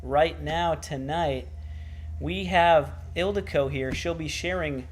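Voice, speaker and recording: Upbeat church host, slightly high voice. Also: male voice, takes it slowly, breathy edge. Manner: upbeat, enthusiastic interviewer. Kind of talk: livestreamed church broadcast.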